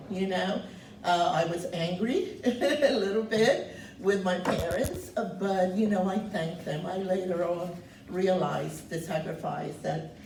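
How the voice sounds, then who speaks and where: Woman in her 70s, loud and earnest personal testimony, mid pitch, mic set back in a roomy space. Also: a little hiss or hum. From Cuba. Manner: speaks loud, earnest, pauses on many fillers. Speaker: guest speaker sharing her refugee story. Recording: roomy, distant mic, faint ambience.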